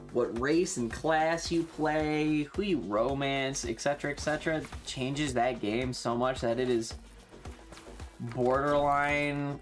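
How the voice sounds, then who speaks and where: A streamer chatting casually and swearing freely while playing a game on a livestream. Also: medium pitch.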